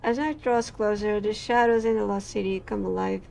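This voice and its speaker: A woman from Portugal, a gaming creator reading game text aloud and chatting in a halting way, full of fillers and restarts. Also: mid-range voice, fairly even intonation, gravelly, a little mellow, faint music behind.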